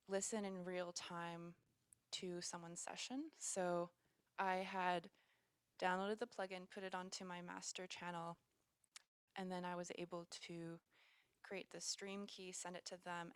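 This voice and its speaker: Soft-spoken canadian woman, chatty and rambling, dry close sound. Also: fairly low pitch, smooth, dry recording. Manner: relaxed, rambling, lots of fillers. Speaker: musician guest. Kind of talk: casual interview chat.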